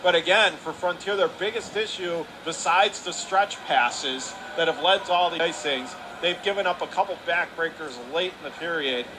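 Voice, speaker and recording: A man's voice: a little high, normal speed, hardly any pauses. An American man doing live radio play-by-play commentary of a hockey game. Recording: noisy arena ambience behind voice. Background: ice rink noise.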